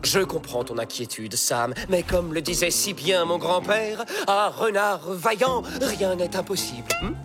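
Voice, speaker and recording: An actor voicing an eager, boastful male fox in a cartoon, with an excitable, grandiloquent delivery. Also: mid-range voice, measured pace, hardly any pauses, over music, close to an everyday voice. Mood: excited, pompous. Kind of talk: French cartoon dialogue.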